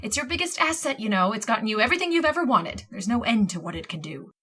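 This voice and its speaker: An actress playing a cold, bitter, scheming sister in an old-time radio horror drama, with a mocking, insinuating manner. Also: female voice, a little high, sing-song, fast talker, fairly bright tone. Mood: sneering contempt.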